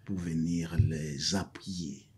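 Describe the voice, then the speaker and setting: A man with a very deep, heavily husky voice. He is a professor and a Mashi speaker, answering in an interview. He hesitates with fillers, then presses his points through repetition. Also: steady tone, takes it slowly, darkish tone. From South Kivu, DR Congo. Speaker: guest, professor.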